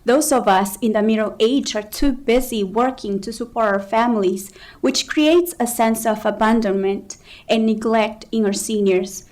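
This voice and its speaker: A woman working as an interpreter at a council meeting, rendering testimony in a steady, formal way with occasional fillers. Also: a little high, moderate pace, few pauses. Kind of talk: consecutive interpretation. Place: county council chamber.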